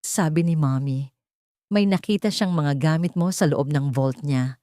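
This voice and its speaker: A woman's voice: deep voice, measured pace, warm tone, dry recording. Lady doing a rich bitter boss guy grilling someone, cold and suspicious, audiobook drama, dry close sound. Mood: angry, hurt. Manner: accusing, interrogating. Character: cold, suspicious wealthy male employer, bitter and hurt.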